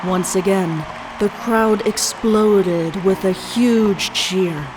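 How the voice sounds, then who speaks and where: A woman's voice: medium pitch, drawn-out words, noisy background. A woman reading scripted story narration as the narrator of a fan fiction. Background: music.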